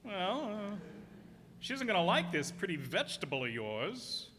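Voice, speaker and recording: Man playing jittery navy sidekick, high bright voice, lively swoops, hesitant stammer, radio comedy acting, hall. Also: normal speed. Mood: awkward, wry. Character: nervous, skeptical unmarried navy sidekick. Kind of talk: stage radio drama acting. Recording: reverberant hall with faint noise.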